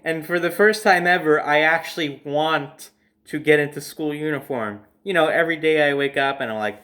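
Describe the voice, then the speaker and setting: A young adult man telling a casual personal story as host, in a dramatic, emphatic manner with drawn-out phrases. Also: on the high side, a bit bright.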